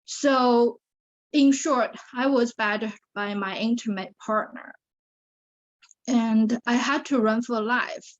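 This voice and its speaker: A woman's voice: fairly high pitch, steady tone, takes it slowly, muffled recording. Chinese-accented woman, earnest guest. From China. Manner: earnest, many fillers and restarts. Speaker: guest, survivor advocate. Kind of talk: interview on a video show.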